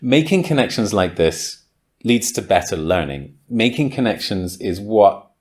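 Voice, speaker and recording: A man with a slightly low voice talks through grammar for a podcast. He thinks aloud, with frequent ums and likes, and sounds keen when an idea clicks. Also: very animated, unhurried. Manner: thoughtful, enthusiastic, many ums and likes. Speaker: podcast host and English teacher. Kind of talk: casual explanatory podcast talk.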